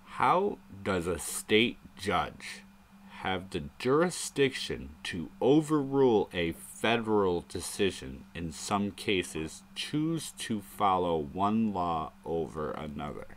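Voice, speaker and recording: A deep-voiced man talks slowly on a live stream, thinking out loud as he goes. He rambles through his points and swears casually. A faint ambient hiss sits under his voice. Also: big pitch swings. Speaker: show host.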